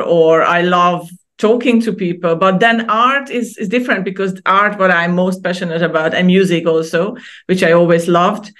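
A woman's voice: on the low side, fairly bright tone. Chatty Hungarian-born woman guest. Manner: chatty, laughs often, halting restarts. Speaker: guest artist. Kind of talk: casual interview conversation.

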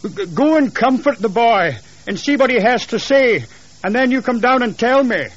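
A man's voice: a little high, big pitch swings, normal speed, a little mellow, husky, highs cut off, pitched above the performer's own voice. A man voicing a shaken, insistent husband in a ghost story, earnest and nervy. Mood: frightened, stubborn.

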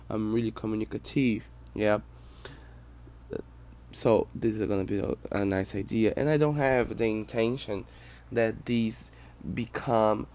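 A Brazilian man who speaks English as a second language, with a Brazilian Portuguese accent, hosting a personal podcast in a reflective, halting way. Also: medium pitch, a little mellow. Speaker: podcast host.

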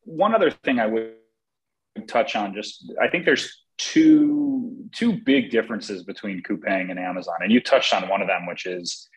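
Male co-host, lowish voice, thoughtful, hesitant delivery with fillers. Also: sing-song, normal speed, vocal fry, rough recording. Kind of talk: casual business discussion.

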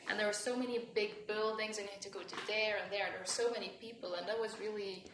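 A woman's voice: medium pitch, steady tone, fast, reverberant, noisy background. A young woman from France, a podcast guest talking casually and hesitantly, with many fillers. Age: young adult. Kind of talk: casual conversation.

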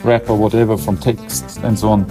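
A man's voice: lowish voice, level tone, moderate pace, music in the background. A man from Germany with a German accent, a classical singer chatting as a podcast guest with dry humor. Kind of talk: casual interview chat.

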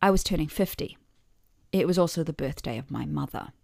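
A woman's voice: low voice, brisk, gravelly, no room sound. A middle-aged woman reading a scripted first-person story with wry, dry humour. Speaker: podcast author and narrator.

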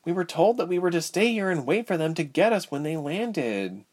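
A man's voice: on the high side, animated, moderate pace, darkish tone, voice pushed up. A man voicing a questioning, slyly playful young woman captive in an audiobook story.

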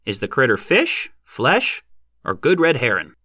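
A man's voice: medium pitch, huge pitch swings, measured pace, sharp tone, no echo, voice pushed up, heavily acted. A man voicing an impatient, demanding banker and horse trader in an audiobook, with a thin, dry voice. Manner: insistent, demanding.

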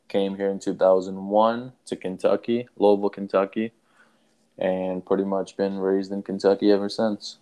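A young man from Afghanistan co-hosting a casual podcast in an easygoing, even manner. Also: sits low, moderate pace, a little hiss or hum. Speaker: podcast co-host.

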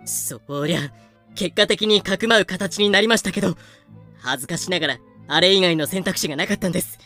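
A woman's voice: fairly low pitch, brisk, a bit bright, faint music behind. A woman playing an aggrieved, earnest colonial official in a fan-made audio drama. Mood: defensive.

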